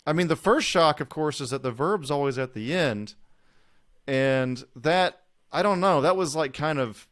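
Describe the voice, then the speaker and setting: A man hosting a casual interview, musing aloud in a relaxed way and often breaking off mid-sentence. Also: on the high side, clean tone. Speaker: podcast host.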